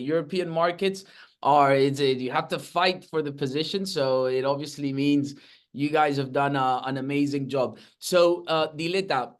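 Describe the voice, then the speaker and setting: A man from Spain with a Spanish accent, hosting an interview casually with lots of fillers and restarts. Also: fairly high pitch. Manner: enthusiastic, many fillers and restarts. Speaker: podcast host, real estate agent. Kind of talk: casual video-call interview.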